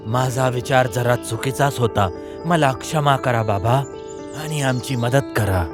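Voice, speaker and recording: A man voicing a stern lion king in an animated fable, with a commanding manner. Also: mid-range voice, measured pace, over music, lightly acted. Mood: pleading. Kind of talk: dubbed animated moral fable.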